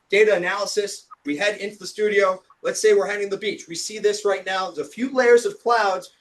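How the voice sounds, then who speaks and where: Hoarse high-voiced male weather presenter. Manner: energetic explanatory delivery with casual fillers. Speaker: TV meteorologist giving a talk. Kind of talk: presentation lecture.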